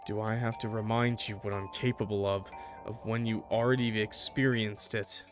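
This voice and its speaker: A man voicing a menacing young male yandere with a knife, speaking low, quiet and threatening. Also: level tone, normal speed, over music, natural voice. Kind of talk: voicing lines of a visual novel.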